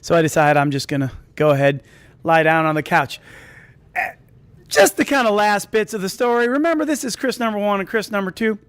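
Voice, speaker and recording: A man's voice: high-pitched, lively intonation, fairly quick, a bit bright, smooth, no room sound. A man telling a comedic personal story to an audience, rambling and interrupting himself with asides.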